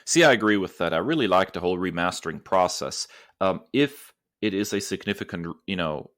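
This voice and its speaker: A man with a deep voice speaks as a podcast co-host. The sound is dry, with very little room in it. He talks in a casual, conversational way, stressing his opinions. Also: expressive, brisk, fairly bright tone, no echo.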